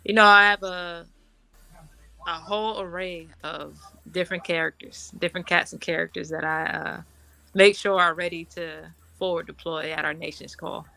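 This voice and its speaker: A middle-aged woman from Washington, D.C., an Army officer and guest in a casual interview. She talks in a relaxed, chatty way, laughs often and uses many fillers. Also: on the low side, moderate pace, vocal fry, no room sound, poor audio.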